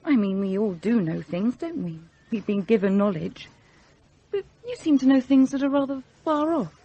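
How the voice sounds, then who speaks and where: A woman's voice: fairly high pitch, animated, moderate pace, dark tone, slightly rough, dull audio. An actress playing a poised but insecure, romantic young upper-class Englishwoman in a radio play, hesitant and earnest. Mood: hesitant, wistful. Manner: hesitant, self-conscious, earnest.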